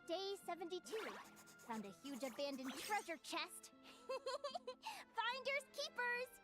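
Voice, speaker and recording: Very high, eager young adventurer girl. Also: slow pace, music in the background, heavily acted. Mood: chatty, upbeat. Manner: expressive, dreamy, exclaiming. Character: eager young female adventurer dreaming of owning a ship. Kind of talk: animated game voice acting.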